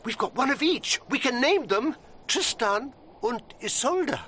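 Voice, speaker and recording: A man's voice: very high-pitched, animated, a little slow, sharp tone, slight background noise, exaggerated voice. A man playing a fussy, flustered, earnest English barrister in a radio drama. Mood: excited, proud. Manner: flustered, stammering, prissy.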